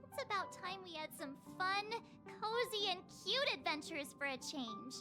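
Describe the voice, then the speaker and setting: Game role: cute teen girl adventurer, very high pitch, animated, exclamatory, music underneath. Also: measured pace. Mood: pleading, whiny. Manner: exclamatory, playful, dramatic. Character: perky excitable cute teenage girl adventurer. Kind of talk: video game voice acting.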